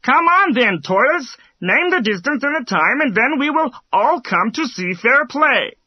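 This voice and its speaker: A woman voicing an eager, sporting fox with a brisk, goading manner in a children's storybook. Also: sits high, sing-song, husky, no room sound, highs cut off, full character voice. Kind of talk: children's storybook character acting.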